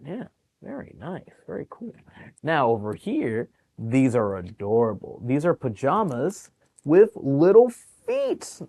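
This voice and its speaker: Animated man reading bedtime stories to children. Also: medium pitch, takes it slowly, creaky, dry recording. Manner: animated, encouraging, explains pictures to young listeners. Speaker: children's storytime reader at a public library. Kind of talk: expressive picture book read-aloud with chatty asides to young children.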